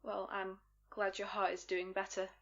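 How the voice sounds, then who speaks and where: A woman's voice: medium pitch, completely flat delivery, moderate pace, a bit bright, lightly acted. Actress as concerned woman, slightly muffled recording. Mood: guarded, practical. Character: concerned, calming woman taking charge of a trapped group. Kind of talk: audio drama acting.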